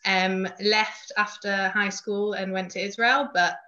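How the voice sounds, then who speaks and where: A woman's voice: mid-range voice, steady tone, measured pace, sharp tone. A woman with a Mancunian accent, a podcast guest chatting casually with frequent fillers. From Manchester, England. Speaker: guest, youth movement emissary. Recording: dull lo-fi audio.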